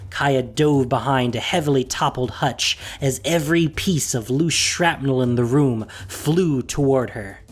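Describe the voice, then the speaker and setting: A narrator reading a fantasy story in a measured, expressive storytelling voice. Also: mid-range voice, breathy edge.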